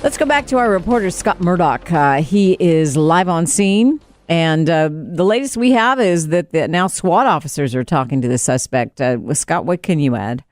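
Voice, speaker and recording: A woman's voice: low-pitched, animated, normal speed, few pauses, faint music behind. An American woman anchoring live radio news, hosting and handing over to a reporter in an unscripted broadcast style.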